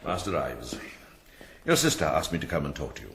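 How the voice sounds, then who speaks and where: A British man playing a kindly, steady older monk and healer in a radio drama, gentle and reassuring in manner. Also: a bass voice, a little fast, creaky, husky, exaggerated voice. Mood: gentle, concerned.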